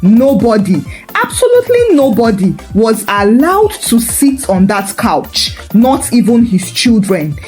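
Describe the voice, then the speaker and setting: A woman's voice: fairly high pitch, sing-song, measured pace, few pauses, a little mellow, soft background music. A woman narrating a scripted story with wry, dramatic emphasis.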